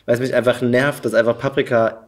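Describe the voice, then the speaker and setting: A man's voice: medium pitch, level tone, fairly quick. A man hosting a casual podcast chat, speaking informally and thinking aloud in loose sentences. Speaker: podcast host.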